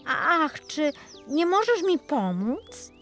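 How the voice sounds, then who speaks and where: Woman playing a naive, high-voiced young lad. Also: big pitch swings, slow pace, fairly bright tone, faint music behind, full character voice. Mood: lost, pleading. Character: naive young miller's apprentice, simple lad.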